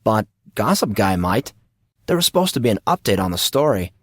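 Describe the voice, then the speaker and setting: A man's voice: fairly low pitch, very animated, fairly quick, no echo, studio quality. A man voicing a cheeky, laid-back teenage boy with a breezy, mock-dramatic manner. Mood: casual. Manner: breezy, slangy.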